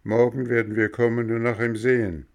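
A man's voice: mid-range voice, little pitch movement, a little fast, gravelly, natural voice. A man voicing stern male gendarmes who bark commands. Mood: stern commanding. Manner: barking, commanding.

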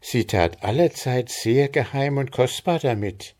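Give me a man putting on an old widow in a storybook, confiding and hushed, higher than his own voice. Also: measured pace, natural voice. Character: old widowed village mother, secretive.

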